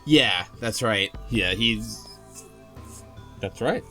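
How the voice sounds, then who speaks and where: A man's voice: lowish voice, drawn-out words, bright, forceful tone. A man hosting a casual group chat about a game, rambling and easily sidetracked. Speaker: podcast host. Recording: music behind the voice. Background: music.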